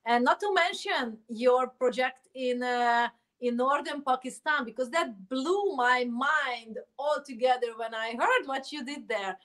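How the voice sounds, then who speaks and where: Enthusiastic woman host, higher voice. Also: fairly bright tone. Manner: enthusiastic, quick questions with fillers. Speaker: interview host. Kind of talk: online interview.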